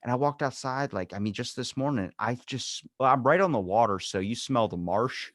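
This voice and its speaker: A man's voice: mid-range voice, animated, moderate pace, no echo, studio quality. A man hosting a podcast in casual chat, rambling from thought to thought and swearing freely. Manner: rambling, profane, uses quoted asides. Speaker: podcast host.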